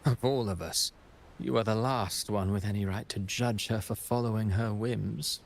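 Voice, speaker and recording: A scheming playwright from a video game, low in pitch and unfailingly courteous. He speaks with composed, poetic formality, as if every line belonged to a stage script. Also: steady tone, normal speed, vocal fry, a little hiss or hum. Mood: dry reproach. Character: courteous, scheming playwright villain, calm and theatrical. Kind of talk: video game cutscene acting.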